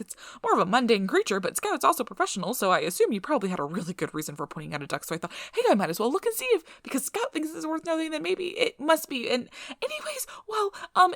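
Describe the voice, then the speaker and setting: A woman's voice: sits high, creaky, fairly bright tone, dry recording, studio quality, full character voice. A woman playing a nervous, eager researcher in an ASMR roleplay, rambling in a flustered, apologetic way. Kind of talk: ASMR roleplay audio drama.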